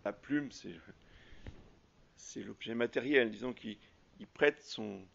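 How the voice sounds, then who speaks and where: A man preaching a sermon as a priest, halting often with fillers and restarts. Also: fairly low pitch, vocal fry, a bit bright, dull audio. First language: French.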